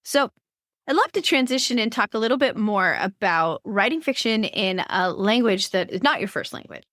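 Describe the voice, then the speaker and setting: A woman's voice: medium pitch, expressive, normal speed, a bit bright, dry recording, studio quality. A woman hosting a writing podcast. She asks long, chatty questions with playful asides and plenty of fillers. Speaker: podcast host. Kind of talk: podcast interview conversation.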